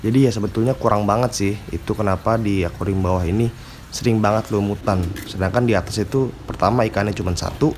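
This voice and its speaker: Male vlogger, slightly low voice, stuffy nose from a cold, relaxed how-to delivery with faint noise behind. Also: fast. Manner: casual slangy talk, frequent fillers. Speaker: aquarium hobby vlogger. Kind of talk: casual tutorial chat.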